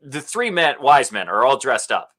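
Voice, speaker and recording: A man's voice: medium pitch, moderate pace. A man from Alberta, a podcast guest who is an actor and speaker, telling his story animatedly in a casual interview. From Alberta, Canada. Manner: animated storyteller, bursts of laughter and acted-out quotes.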